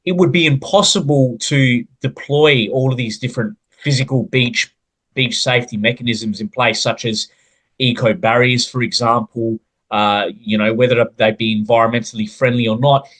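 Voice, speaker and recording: A man's voice: medium pitch, fairly even intonation, moderate pace, a bit bright, low-quality recording. A man with an Australian accent hosting a podcast interview, speaking in an earnest, wordy way. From South Australia. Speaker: podcast host.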